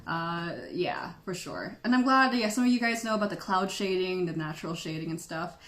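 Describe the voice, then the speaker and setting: A woman's voice: on the low side, normal speed, a bit bright. A woman from the Philippines hosting a tea channel, chatting playfully with viewers and laughing often. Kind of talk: casual livestream chat answering viewers. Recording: echoey room.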